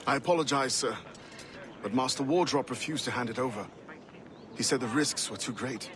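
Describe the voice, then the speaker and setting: An apologetic army captain, played by a man, reports deferentially in a medium-pitched voice. Clear noise surrounds this game dialogue. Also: moderate pace, natural voice. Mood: apologetic, nervous. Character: apologetic subordinate male British army captain.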